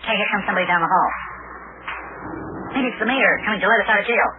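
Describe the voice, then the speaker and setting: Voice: mid-range voice, fast, natural voice. A performer playing an eager, boyish, mischievous lad, a detective's sidekick in an old-time radio comedy. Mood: excited. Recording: tinny, noisy old radio recording.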